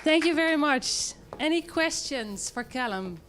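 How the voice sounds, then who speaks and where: A woman's voice: sits high, expressive, measured pace, noisy background. A woman moderating a live event as host, introducing speakers and taking questions.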